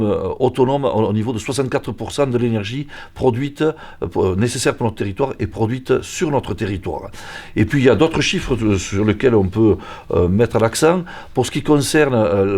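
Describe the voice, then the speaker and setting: A man speaking as a local official, presenting public services in an explanatory way, measured and hesitant as he searches for words. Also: medium pitch, darkish tone. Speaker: local official in charge of environment. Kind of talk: semi-prepared explanatory presentation.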